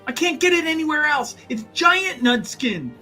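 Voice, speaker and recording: A man's voice: very high voice, sing-song, moderate pace, bright, forceful tone, faint music behind, pitched above the performer's own voice, heavily acted. A man voicing a vain, melodramatic man who is theatrically self-pitying and grandiose in a tabletop game. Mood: pleading, dramatic. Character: vain, melodramatic man devoted to his one-of-a-kind coat.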